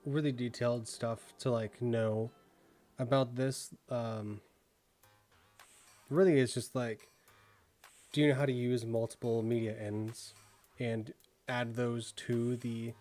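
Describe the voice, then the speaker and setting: A man's voice: medium pitch, level tone, takes it slowly, long pauses, a little mellow, soft background music. A young man with a Midwestern accent, chatting casually on a stream and rambling with lots of fillers. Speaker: streamer reviewing viewer work. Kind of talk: casual live-stream chat.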